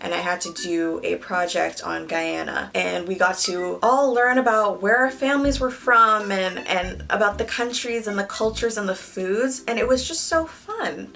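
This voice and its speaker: A woman's voice: mid-range voice, gravelly. A young woman from Canada chatting candidly to her viewers while she does her makeup. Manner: candid, animated, talks to the viewers directly. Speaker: beauty vlogger. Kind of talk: casual chat while doing makeup. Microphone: camera mic, close.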